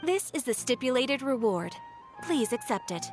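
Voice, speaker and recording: A woman's voice: high-pitched, sing-song, normal speed, soft background music. A woman playing a polite, composed guild receptionist in a fantasy game, speaking formally. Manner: formal, courteous. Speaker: voice actor in a fantasy video game. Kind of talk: scripted game dialogue.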